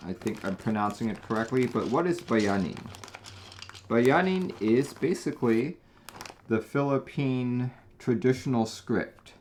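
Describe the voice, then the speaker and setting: A man from Taiwan hosting a casual live stream, talking in a chatty, relaxed way with frequent small restarts. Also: mid-range voice, unhurried, slight background noise. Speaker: host.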